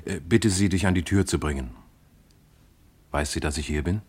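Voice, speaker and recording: A shrewd police inspector speaks in a low register with formal, controlled courtesy. His measured, authoritative delivery belongs to a mid-century German radio crime play. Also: a little mellow, slightly rough. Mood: businesslike.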